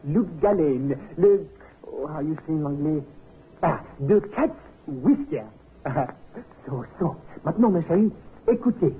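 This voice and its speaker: An actor playing an amorous, insistent Frenchman with a French accent and halting English in a 1950s radio drama. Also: a little high, animated. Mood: amorous, eager. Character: amorous French male lover, ardent and insistent. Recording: noisy, tinny 1950s radio recording.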